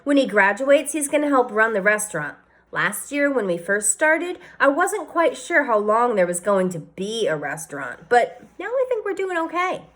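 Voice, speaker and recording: A chatty, plain-spoken parent who runs a small family restaurant, talking with a lifted, higher voice, as a woman reading a storybook puts them on. The talk is easy and homey. The voice sits close to the reader's own. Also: very animated, moderate pace, fairly bright tone. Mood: pleased.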